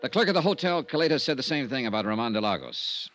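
An actor playing a cool, wry, persistent insurance investigator in a 1950s hardboiled radio drama. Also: male voice, medium pitch, expressive, fast talker, fairly bright tone. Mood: matter-of-fact narration. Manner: wry, probing. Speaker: lead character and narrator. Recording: noisy 1950s radio recording.

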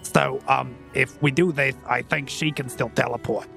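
A man's voice: mid-range voice, fairly even intonation, moderate pace, creaky, a bit bright, soft background music. A man voicing a short, hairy male creature who is eager and rambling. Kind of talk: tabletop roleplay in character.

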